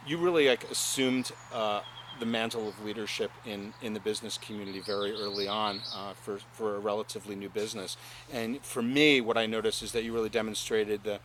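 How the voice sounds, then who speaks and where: A man's voice: fairly low pitch, slight background noise. Chatty middle-aged male interviewer. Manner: chatty, animated, frequent mm-hmms, laughs. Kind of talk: casual interview.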